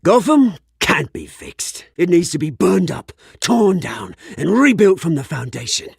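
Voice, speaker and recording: British revolutionary gangster, husky, very animated, charming yet menacing, fallen rich boy, dramatic game acting. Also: on the high side, unhurried, no room sound, studio quality, full character voice. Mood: charming, warning. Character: bitter, menacing British crime-lord revolutionary, faded rich heir.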